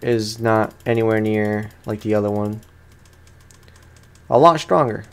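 A man's voice: fairly low pitch, unhurried, clear, resonant tone. Young man doing slangy gaming commentary. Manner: slangy, informal. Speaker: gaming YouTuber. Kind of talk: casual let's play commentary.

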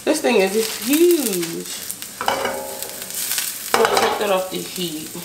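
A woman's voice: mid-range voice, sing-song, slow pace, vocal fry. An American woman chatting casually and informally as she cooks, thinking aloud in an unscripted way. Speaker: home cook vlogger. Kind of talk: casual chat while cooking. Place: home kitchen, indoors. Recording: echoey kitchen, noisy stove sizzle. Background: sizzling pan, clicks, stirring.

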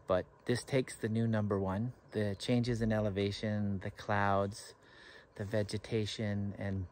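Canadian man vlogging outdoors. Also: fairly low pitch. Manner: enthusiastic, chatty, with restarts. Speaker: travel vlogger. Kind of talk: casual travel vlog to camera. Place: outdoors on a forested mountaintop. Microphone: camera held at arm's length.

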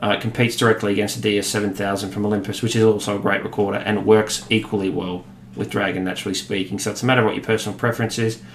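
A man in his thirties with an Australian accent, explaining a software demo in a relaxed way, with many fillers. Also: lowish voice, rapid-fire, a little hiss or hum. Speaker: product demonstrator. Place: office room, indoors. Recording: echoey room with faint ambience.

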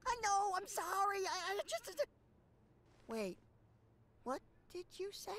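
A woman's voice: very high-pitched, very animated, measured pace, a bit bright, heavily acted. A woman voicing an earnest, naive teenage boy fan in a comedic anime parody, chatty and easily flustered. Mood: apologetic.

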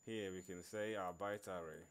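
A man narrating an informal programming screencast in a halting way, repeating words as he works. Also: low voice, steady tone. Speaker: programming tutorial presenter. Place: screen recording voice-over.

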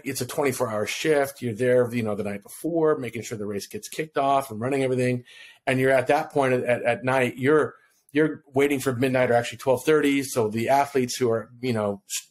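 A man from Hawaii in his fifties tells a personal story as a podcast guest, relaxed and rambling, acting out the lines of the people in it. He speaks into a podcast microphone from home. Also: medium pitch, moderate pace. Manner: relaxed, rambling storyteller who acts out quotes. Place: home room on video call. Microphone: podcast mic in front of him.

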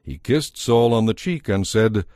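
A man's voice: fairly low pitch, lively intonation, a little slow, dark tone, dry recording, studio quality. A man narrating a dramatized audio Bible as a scripted story.

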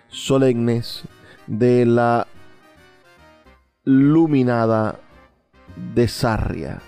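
A man with a Venezuelan accent presenting a radio music program in a measured, halting way. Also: medium pitch, mellow. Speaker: radio host. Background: music playing faintly behind the voice.

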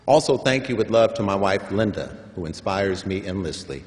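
An older man delivering a formal commencement address, measured and emphatic, building up to stressed points. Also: low voice, expressive. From San Francisco. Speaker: commencement speaker. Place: large hall at a graduation ceremony. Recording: long hall reverb.

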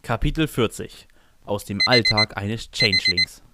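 A man's voice: fairly low pitch, lively intonation, unhurried, very noisy. A man reading a scripted first-person narration for a fan fiction audio drama.

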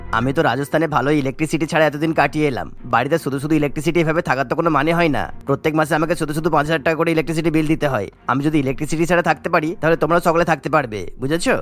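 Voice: medium pitch, steady tone, very fast, few pauses, voice pushed down, lightly acted. A performer voicing a stern, stingy older man, a cartoon father-in-law who lectures firmly. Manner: firm, lecturing. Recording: music bed behind the voice.

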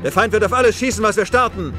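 A man playing a dutiful, brisk soldier officer with a clipped military manner. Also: sits high, bright, forceful tone, faint music behind. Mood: alarmed.

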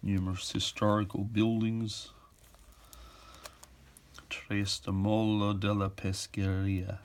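A middle-aged man giving an informal walkthrough of auction items in an offhand, halting way, as if thinking aloud. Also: on the low side, flat delivery, a little hiss or hum. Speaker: auction house presenter. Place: indoors at a table.

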